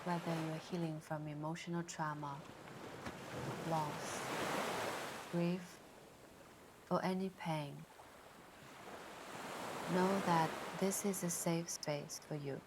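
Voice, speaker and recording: A woman leads a guided meditation in a low, even voice. Her delivery is very slow and gentle, with long pauses between phrases. Soft ocean surf sounds sit behind her words. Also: background noise. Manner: soothing, gentle, unhurried instruction with long pauses. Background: ocean waves ambience.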